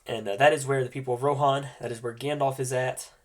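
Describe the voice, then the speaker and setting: A man with a mid-range voice, stuffed up and slightly nasal from a cold, hosts a tabletop roleplaying session as game master. He explains in an informal, halting way, finding his words as he goes. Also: mid-range voice, fairly even intonation. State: congested from a cold.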